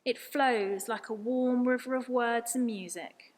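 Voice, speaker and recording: A woman's voice: fairly high pitch, steady tone, measured pace. A woman reading a scripted story aloud as a storyteller. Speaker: story reader.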